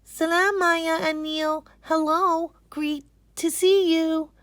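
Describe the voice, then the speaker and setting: A woman voicing an eager, excitable young girl with an earnest, childlike manner in a storybook reading. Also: very high-pitched, slow, no echo, voice pushed up, exaggerated voice. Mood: welcoming.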